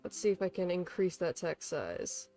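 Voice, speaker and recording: A woman's voice: on the low side, big pitch swings, normal speed, dark tone, soft background music. A woman livestreamer chatting casually to viewers in a playful, chatty way. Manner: playful, chatty, talks to pets. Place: bedroom at home.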